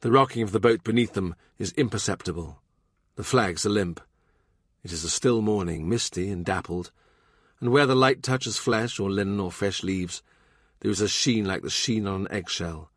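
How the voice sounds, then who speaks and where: A man's voice: fairly low pitch, dry recording, clean studio sound. A man narrating a historical novel as a scripted literary audiobook.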